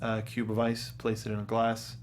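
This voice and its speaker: A man talking casually as a show panelist, telling things in an animated way and acting out quotes. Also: fairly low pitch, moderate pace, slight background noise. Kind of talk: casual film and game discussion.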